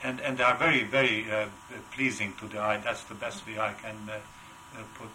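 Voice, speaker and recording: An older man with a heavy Hungarian accent, answering interview questions in a halting way with many filler sounds. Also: lowish voice, little pitch movement, bright, forceful tone, slight background noise, poor audio. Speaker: wood carver, interview guest. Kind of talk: casual interview.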